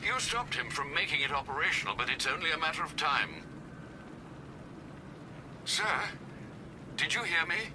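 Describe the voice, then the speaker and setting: A fairly high, expressive old British butler acted by a man, formal and deferential. He sounds loyal and anxiously pleading in a video game, over clear rumbling noise. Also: normal speed, long pauses, close to an everyday voice. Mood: pleading, urgent. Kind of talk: video game cutscene acting.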